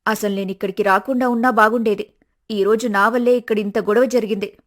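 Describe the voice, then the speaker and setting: A woman voicing a hot-tempered grown daughter in a cartoon story, sharp and scolding. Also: mid-range voice, fairly even intonation, brisk, pitched below the performer's own voice, natural voice. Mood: remorseful. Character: fiery grown daughter defending her mother.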